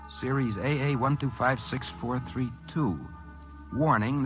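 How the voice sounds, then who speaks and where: An actor playing a broke, lazy, wishful drifter in an expressive 1950s radio drama style, talking to himself and narrating. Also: male voice, lowish voice. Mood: wry narration. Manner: expressive, self-talking, swinging from wry to excited. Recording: 1950s radio recording with faint music.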